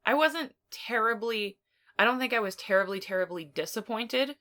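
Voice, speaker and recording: A woman's voice: a little high, lively intonation, normal speed, bright, forceful tone. A woman co-hosting a podcast, chatting casually as she reviews films. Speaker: podcast co-host. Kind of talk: casual film review chat.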